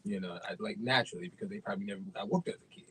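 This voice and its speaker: A man's voice: fairly low pitch, racing, a bit bright, breathy edge, no echo, low-quality recording. A man chatting as a guest in a casual conversation, hesitant with many fillers. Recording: faint background noise.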